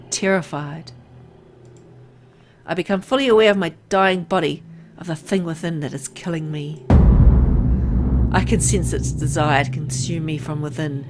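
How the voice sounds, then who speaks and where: A woman's voice: fairly low pitch, a little hiss or hum. A woman reading dramatic first-person visual novel narration aloud in a brooding, theatrical way.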